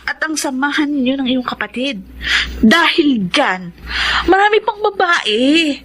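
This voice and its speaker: A woman's voice: sits high, animated, unhurried, a bit bright. An actress playing a frank, persuasive young woman confidante in a Filipino radio drama. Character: frank young female confidante, reasoning and scolding.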